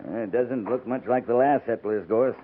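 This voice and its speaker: An actor playing a seasoned, dry-witted cavalry captain in a 1950s radio western, clipped and authoritative. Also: male voice, mid-range voice, normal speed, natural voice. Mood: low, probing. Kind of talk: 1950s radio drama acting. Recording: tinny, noisy 1950s radio recording.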